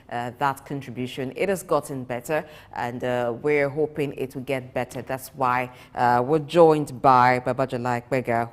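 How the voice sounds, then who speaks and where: Woman, morning TV host, very low voice. Chatty, with some hesitation. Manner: conversational, with fillers. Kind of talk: live TV interview.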